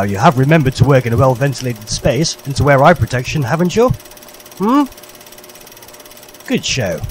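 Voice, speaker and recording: A man's voice: mid-range voice, slight background noise. A man narrating a parody vintage public information film in a formal, jolly, old-fashioned instructor's manner.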